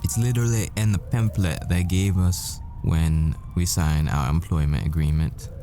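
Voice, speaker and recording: A man's voice: low-pitched, normal speed, dark tone, faint music behind. A man playing a secret hitman who poses as a professor, a smitten and haunted boyfriend in an intimate audio roleplay monologue. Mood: fond, teasing.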